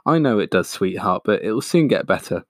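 A man voicing a loving, tearful mother fox in a storybook reading, soft and pleading. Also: fairly low pitch, animated, brisk, no echo, clean studio sound. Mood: tender.

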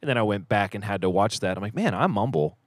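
A man with a fairly low voice, recorded dry and close, hosts a casual podcast. He rambles in a joking, self-deprecating way. His sentences tend to trail off into a mumble. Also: lively intonation, fast talker, no echo. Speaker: podcast host.

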